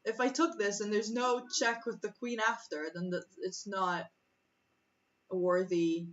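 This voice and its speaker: A woman's voice: medium pitch, fairly even intonation, measured pace, a bit bright. Chatty woman streamer, slightly distant mic. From former USSR. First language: Russian. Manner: chatty, self-interrupting, laughs often. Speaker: chess streamer. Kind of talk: casual thinking aloud while solving chess puzzles. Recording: slightly distant, muffled mic with faint music. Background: faint music.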